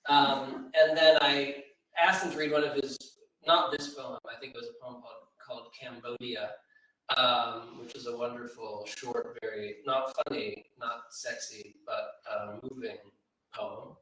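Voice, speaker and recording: Man, literary event speaker. Thoughtful, halting, digressive; very echoey room, slightly distant mic. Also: medium pitch, flat delivery, pushed and bright. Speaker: poetry editor and event speaker. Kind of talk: informal spoken commentary at a literary event. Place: large reverberant room at a live event.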